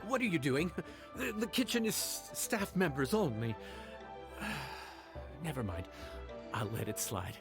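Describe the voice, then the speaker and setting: A man's voice: fairly high pitch, big pitch swings, takes it slowly, over music, full character voice. An actor playing an easygoing, watchful kitchen man in a video game, conversational and obliging. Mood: startled, relenting.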